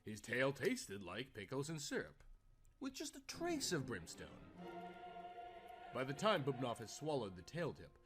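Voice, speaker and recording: A man's voice: mid-range voice, huge pitch swings, measured pace, over music. A man narrating a scripted story dramatically, as a storyteller.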